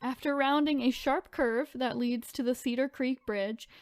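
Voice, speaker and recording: A woman's voice: high voice, fairly even intonation, normal speed, no room sound. A woman hosting a podcast, chatting informally and reading spooky stories aloud with casual asides. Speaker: podcast host.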